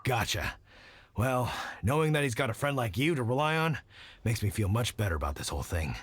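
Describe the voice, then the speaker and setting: A man's voice: mid-range voice, sing-song, fairly quick, fairly bright tone, slightly rough. A man voicing a kindly middle-aged hunter dad in a video game, folksy, reflective and supportive. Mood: warm, encouraging.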